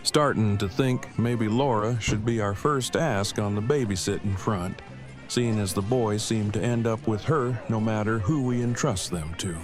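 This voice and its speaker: A man playing a deadpan, wordy brainbox. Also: lowish voice, a little slow, lightly acted. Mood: drily amused. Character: brainy, verbose, drily witty oddball man. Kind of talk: audio drama acting. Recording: music and outdoor ambience behind.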